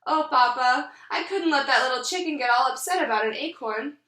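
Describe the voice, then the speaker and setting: A woman's voice: high-pitched, brisk, sharp tone, reverberant. A woman voicing an eager, impulsive little red chicken girl in a storybook, bursting in with urgent exclamations. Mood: pleading, earnest.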